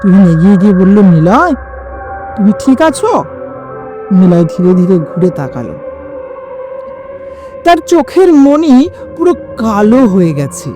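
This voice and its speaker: A woman's voice: mid-range voice, very animated, unhurried, long pauses, over music. A woman narrating a scripted horror story in a dramatic storytelling manner, with sudden drops in her voice.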